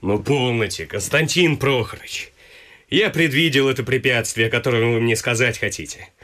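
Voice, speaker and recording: A man's voice: medium pitch, normal speed, a bit bright, lightly acted. A man playing an ardent, gallant young suitor in a classic comedy radio play, speaking in a florid, earnest, theatrical way. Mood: confident, persuasive.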